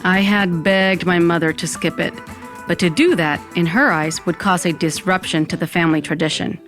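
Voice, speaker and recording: A woman's voice: lowish voice, steady tone, normal speed, fairly bright tone, natural voice. A woman playing a sardonic undead woman, narrating an audio fiction in the first person. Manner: wry, dramatic, peppered with Spanish exclamations. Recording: music bed under the voice.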